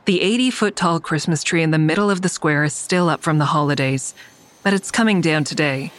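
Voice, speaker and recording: A woman's voice: low voice, a little fast, no room sound, lightly acted. An actress playing a weary, defiant woman who narrates her own thriller in a wry, blunt way. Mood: wry, watchful. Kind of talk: first-person audio drama narration and dialogue.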